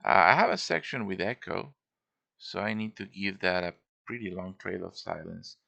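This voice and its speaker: A man's voice: low-pitched, animated, normal speed, creaky, sharp tone, muffled recording. A man giving a casual show-and-tell tutorial as a game modder, informal and thinking aloud as he works.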